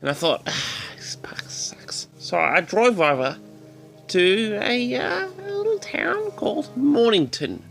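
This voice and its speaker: A man telling a story to his audience as a vlog host, in a theatrical, indignant manner with dramatic pauses. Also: sits high, big pitch swings, gravelly, music in the background. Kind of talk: storytelling monologue addressed to the audience.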